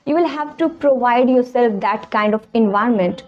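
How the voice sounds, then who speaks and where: Young female English tutor, Indian accent, Hindi native tongue, high voice, earnest and encouraging, slightly muffled lo-fi audio. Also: normal speed. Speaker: English teacher. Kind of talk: YouTube speaking lesson. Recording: slightly dull, lo-fi sound.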